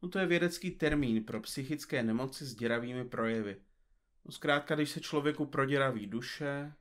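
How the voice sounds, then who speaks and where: A man's voice: mid-range voice, fairly bright tone, close to an everyday voice. A man playing a pompous, absent-minded detective in an audio comedy drama, speaking in florid, formal, bookish phrases. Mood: pompous, fussy.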